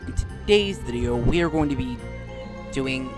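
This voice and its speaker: A male gaming host talks casually through his play in a medium-pitched voice. He comments and reads out dialogue, with game music clearly audible behind him. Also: animated, normal speed. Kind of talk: casual gameplay commentary, reading dialogue choices aloud.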